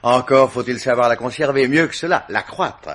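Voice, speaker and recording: An actor playing a sly, persistent police commissioner in a vintage French radio mystery, with a hesitant, wry, probing manner. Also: male voice, mid-range voice, moderate pace, a bit bright, lightly acted. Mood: musing, sly.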